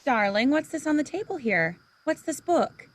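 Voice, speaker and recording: A woman playing a loving, excitable young wife in a 1940s radio play. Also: high voice, moderate pace, no room sound. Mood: curious. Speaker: amateur actress.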